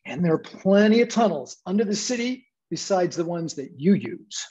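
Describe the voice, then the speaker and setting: A woman playing an uncouth, cocky male bootlegger's henchman with husky, slangy, ungrammatical speech in a period comedy. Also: lowish voice, expressive, normal speed, warm tone, dull audio. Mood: cocky, indignant.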